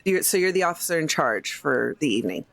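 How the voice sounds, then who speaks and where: Female role-play gamer, low voice, casual and conversational delivery. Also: big pitch swings, quick pace, vocal fry, fairly bright tone, dry recording. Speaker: tabletop game player.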